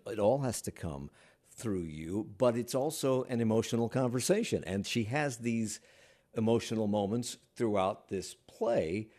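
A man's voice: on the low side, dark tone. An older man hosting a radio talk show, from Washington, D.C., asking long winding questions with plenty of fillers. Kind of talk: radio interview.

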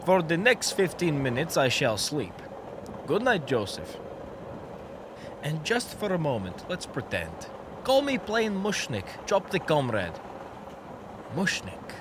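A young actor plays a wry Soviet runaway in a radio comedy. His voice sits fairly high and swings about with theatrical liveliness, over clearly audible background noise. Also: huge pitch swings, slow, pauses often, full character voice. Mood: wry, guarded. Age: young adult. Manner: theatrical, speaks of himself in third person. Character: wry runaway Soviet comrade Mushnik, dreaming of being American.

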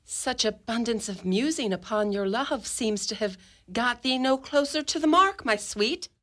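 A woman's voice: on the high side, expressive, unhurried, a bit bright. A woman playing a teasing, impatient, lovestruck young noblewoman in a radio drama, with theatrical archaic phrasing. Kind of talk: amateur radio drama in Shakespearean verse.

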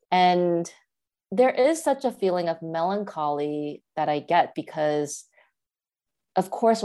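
Low-voiced woman author from Taiwan. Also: a little mellow. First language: Mandarin Chinese. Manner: thoughtful, with fillers and restarts. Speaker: author guest. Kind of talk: radio interview answers.

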